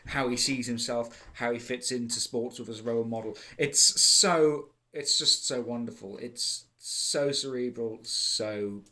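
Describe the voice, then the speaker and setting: A Welsh-accented man talks through a theatre review in a loose, unscripted way, piling on praise. He rambles, stumbles and restarts often, filling gaps with ums and likes. His voice sits at an ordinary middle pitch. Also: fairly even intonation, measured pace, few pauses, bright, forceful tone. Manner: enthusiastic, rambling with many fillers and restarts. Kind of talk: casual spoken review.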